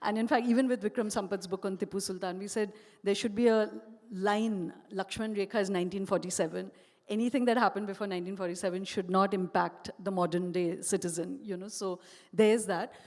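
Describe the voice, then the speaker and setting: A woman with an Indian English accent moderates a stage talk, asking thoughtful, long winding questions at a mid pitch. Her voice carries a long hall reverb from a live event. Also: steady tone, a little fast, a little mellow. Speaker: interviewer, moderator. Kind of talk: stage conversation.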